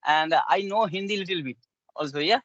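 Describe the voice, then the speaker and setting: High-voiced Bengali-accented man, learning English. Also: fairly bright tone, no echo, lo-fi sound. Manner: halting, simple learner English with frequent yeah and yes. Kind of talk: casual English practice conversation.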